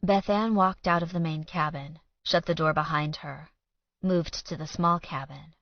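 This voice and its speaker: A woman's voice: deep voice, fairly even intonation, unhurried, no echo, dull audio. A woman audiobook narrator reading fiction in a scripted storytelling style.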